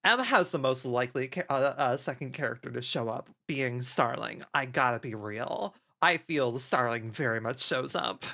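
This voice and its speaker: A man's voice: medium pitch, animated, moderate pace, creaky, few pauses, bright, forceful tone. A man chatting casually on stream, thinking aloud in a rambling way and swearing casually. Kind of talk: casual chat while playing a game on stream.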